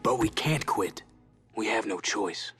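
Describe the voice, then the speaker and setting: Low-voiced, gruff hero racer. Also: male voice, animated, measured pace, sharp tone, faint music behind. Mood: defiant. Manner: terse, resolute. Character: gruff, determined young male hero racer. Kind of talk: video game cutscene acting.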